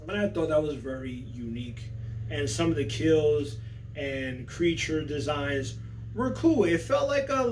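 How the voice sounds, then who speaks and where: A man's voice: on the high side, recorded from a distance, a little hiss or hum, poor audio. A man hosting a casual, unscripted review, talking in a slangy, conversational way and often checking in with the listener. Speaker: movie review host.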